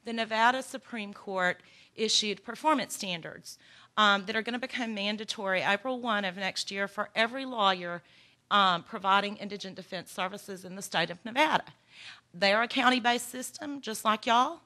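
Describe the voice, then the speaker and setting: Bright-voiced Texan woman presenting. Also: mid-range voice, normal speed. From Texas. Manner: earnest, encouraging, folksy with "y'all". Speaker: workshop presenter. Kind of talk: conference presentation. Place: conference room.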